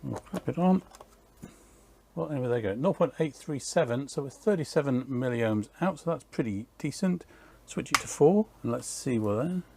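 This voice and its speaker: A man's voice: mid-range voice, expressive, moderate pace, vocal fry, background noise. A man in his workshop, casually explaining an electronics build in a technical, matter-of-fact way, thinking aloud with fillers. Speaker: electronics maker and channel host.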